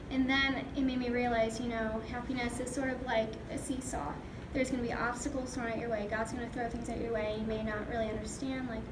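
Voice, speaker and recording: A young adult woman reflecting aloud in a group conversation. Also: on the high side. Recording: echoey room with background noise.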